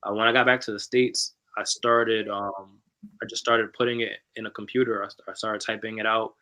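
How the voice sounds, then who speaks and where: Male author, low bright voice, flat and measured, candid and reflective. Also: low-quality recording. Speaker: author guest. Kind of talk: casual panel talk, personal story.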